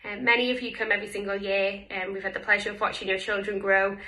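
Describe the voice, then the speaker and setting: A woman's voice: mid-range voice, flat delivery, fast, bright, forceful tone, poor audio. A woman giving a spoken video message to supporters as a pantomime performer.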